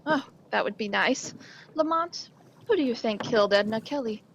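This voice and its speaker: A woman's voice: a little high, lively intonation, normal speed, a bit bright. An actress playing a genteel, inquisitive lady companion in an old-time radio play, polite and earnest. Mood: earnest recounting. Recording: live stream with faint noise.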